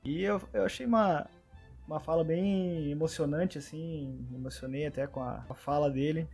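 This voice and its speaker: A man hosts a podcast in a fairly high voice. He opens the show briskly and welcomes his guests. Faint music plays underneath. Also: creaky, a little mellow, husky. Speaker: podcast host. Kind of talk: podcast intro and chat.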